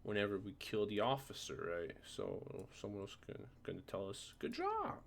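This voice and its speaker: A man presenting a software tutorial informally, often checking that the listener is following along. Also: on the low side, little pitch movement, moderate pace, creaky, slight background noise. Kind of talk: casual screen-recorded software tutorial.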